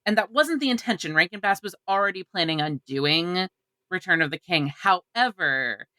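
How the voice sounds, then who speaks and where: A woman's voice: on the low side, animated, moderate pace, bright, forceful tone, no room sound. A woman co-hosting a podcast in casual panel chat, opinionated and emphatic in how she talks. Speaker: podcast co-host. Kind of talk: casual panel chat about film adaptations.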